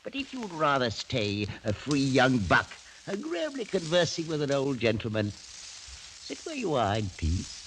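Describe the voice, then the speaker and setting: A man's voice: mid-range voice, huge pitch swings, a little slow, a bit bright, heavily acted. An actor playing a menacing, sly old French nobleman in a theatrical, mock-courteous radio style. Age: older. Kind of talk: 1940s-50s radio acting. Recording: noisy vintage radio drama recording.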